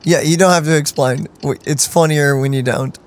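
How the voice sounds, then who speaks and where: A streamer giving casual, excitable reaction commentary on a game playthrough. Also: mid-range voice, normal speed. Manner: excitable, animated. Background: game music.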